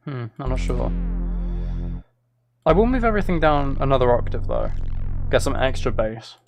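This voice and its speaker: A man's voice: medium pitch, big pitch swings, moderate pace, vocal fry. A man streaming casually while making music, thinking aloud to himself and often trailing off mid-thought. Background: synth and drum loops from music software, clicking.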